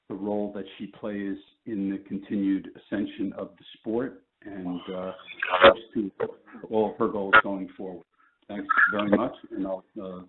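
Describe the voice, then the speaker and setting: A man giving a promoter's press statement over the phone in a measured, emphatic way. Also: lowish voice, a little hiss or hum. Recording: narrow phone conference line.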